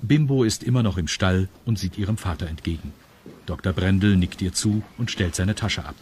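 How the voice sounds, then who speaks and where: A man's voice: low voice, moderate pace, a little mellow, a little hiss or hum. A man narrating a children's audio drama as a scripted storyteller.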